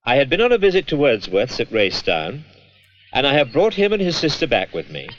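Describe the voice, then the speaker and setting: An actor playing a Romantic poet reading his letters in a measured, reflective and self-mocking manner. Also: medium pitch, huge pitch swings, slight background noise. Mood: pleased, admiring. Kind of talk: dramatised literary reading of letters and verse. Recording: dull old 16mm film soundtrack.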